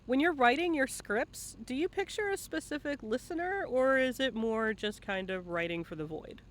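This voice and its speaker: A man's voice: extremely high pitch, animated, moderate pace, a bit bright. A man hosting a casual live-stream interview, encouraging and bantering, with some swearing. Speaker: interviewer and host.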